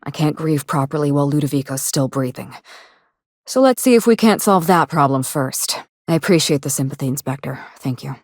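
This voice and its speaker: A woman's voice: deep voice, animated, brisk, a little mellow, no echo. An actress playing a sardonic, grieving woman out to avenge her sister in a video game, speaking articulately with dry, controlled sarcasm. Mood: grieving, restrained. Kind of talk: video game dialogue acting.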